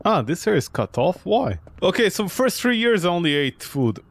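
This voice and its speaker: A man's voice: high-pitched, sing-song, moderate pace, creaky. A man giving casual, joking, sarcastic commentary while he plays through a horror game. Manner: sarcastic, jokey.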